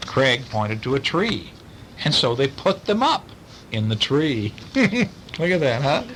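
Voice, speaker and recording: A man tells a children's bedtime story in a bright, lively voice. His pitch moves around freely as he drops chatty asides to his young listeners. Faint noise and rumble sit under the recording. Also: slow, poor audio.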